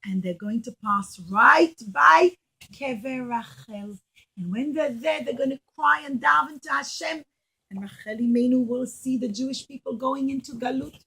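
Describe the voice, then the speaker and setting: Bright, high-voiced woman telling children stories. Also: normal speed, slightly rough, rough recording. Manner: simple, emphatic, asks the listeners questions. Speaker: children's teacher and storyteller. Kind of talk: animated Bible storytelling to children.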